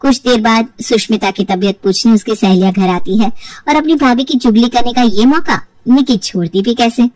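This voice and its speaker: A woman's voice: on the high side, fairly quick, darkish tone, far from the mic. A woman reads scripted story narration for a family tale. The sound is dry, with no room echo, and she is a little away from the microphone.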